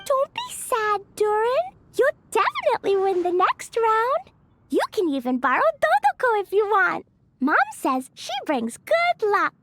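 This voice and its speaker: Very high-voiced little girl. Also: huge pitch swings, unhurried, a bit bright, a little hiss or hum, heavily acted. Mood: comforting. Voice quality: childlike. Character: sweet eager innocent little girl. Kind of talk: video game character acting.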